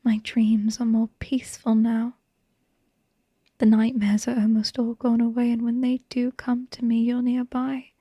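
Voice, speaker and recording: A woman playing a devoted, tender and haunted vampire wife in a hushed, breathy, intimate ASMR bedtime monologue. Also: a little high, level tone, dry recording, clean studio sound. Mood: soft, loving. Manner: slow, tender, halting delivery with lingering pauses.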